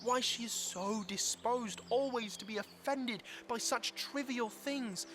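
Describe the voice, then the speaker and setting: A man's voice: very high-pitched, unhurried, background noise. A man playing an earnest, polite and kind-hearted young marten in an animal audio drama. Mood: troubled.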